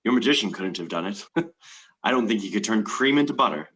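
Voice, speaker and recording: A man's voice: on the low side, expressive, brisk, fairly bright tone. A man acting a menacing, gloating mad lord in a stage play reading, with theatrical, archaic, taunting speech and music behind him.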